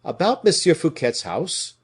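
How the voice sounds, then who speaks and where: A man voicing an indignant, scornful veteran musketeer with a rough, declamatory delivery in an audiobook. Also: fairly high pitch, big pitch swings, unhurried, voice pushed up, heavily acted. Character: fiery, indignant veteran musketeer, gruff old soldier. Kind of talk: dramatic audiobook character reading.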